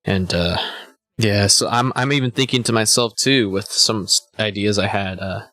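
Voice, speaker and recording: A man's voice: fairly low pitch, moderate pace, pushed and bright, dry recording. A man in his twenties chatting casually to his live stream viewers, a bit hungover, rambling and laid-back with lots of "man" and "you know.". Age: 20s. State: a little hungover.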